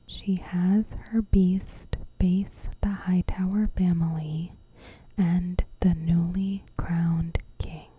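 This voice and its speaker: A woman narrating a recap in ASMR style, in a hushed, breathy whisper with measured delivery. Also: fairly low pitch, level tone, dry recording, rough recording. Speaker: ASMR video host.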